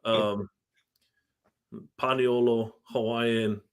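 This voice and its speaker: A man's voice: medium pitch, fairly even intonation, extremely slow, smooth. Man hosting a casual podcast chat. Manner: friendly, reminiscing, laughs along. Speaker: podcast host.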